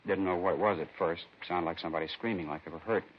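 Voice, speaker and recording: An actor playing a weary, uneasy bar owner, colloquial and plain-spoken, in a 1950s radio police drama. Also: male voice, deep voice, steady tone, fast talker, natural voice. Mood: uneasy, explaining. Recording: dull, hissy 1950s radio recording.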